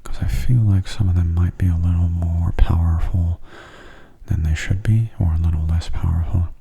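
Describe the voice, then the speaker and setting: Guy doing asmr game commentary, super soft n breathy, really mellow dark voice, gentle. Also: low-pitched, steady tone, normal speed. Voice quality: soft, breathy, half-whispered. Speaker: video game streamer.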